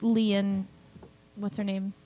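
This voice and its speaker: A woman's voice: medium pitch, little pitch movement, slow, mellow. A woman giving direct, opinionated notes on a story as a panelist in a casual group chat. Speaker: podcast panelist, critic. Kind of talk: casual critique discussion.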